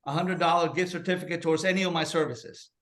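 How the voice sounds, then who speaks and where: Man, fairly high voice, halting, filler words, vendor pitch, video call. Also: steady tone, a bit bright. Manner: halting, many fillers and restarts.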